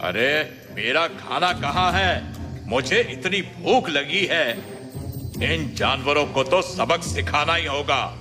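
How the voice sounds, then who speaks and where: A pompous, domineering cartoon lion voiced by a man who acts like king of the jungle. His voice sits a little high and orders everyone about. Long reverb surrounds it, with clear music behind. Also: lively intonation, takes it slowly. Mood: hungry, irritated. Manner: pompous, commanding. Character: boastful tyrant male lion, self-declared jungle king. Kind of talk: animated children's fable acting.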